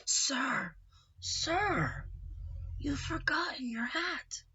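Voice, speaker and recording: A woman voicing a meek old gentleman from a storybook, polite and hesitant. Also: high-pitched, very animated, extremely slow, dull audio, pitched above the performer's own voice, exaggerated voice.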